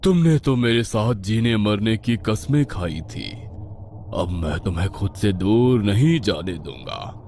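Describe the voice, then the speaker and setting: A man's voice: lowish voice, very animated, darkish tone, slight background noise. A man voicing a menacing undead young lover in a horror story, drawling mock-sweet, possessive endearments. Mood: possessive menace. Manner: drawn-out, mocking endearments with evil laughter. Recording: music behind the voice.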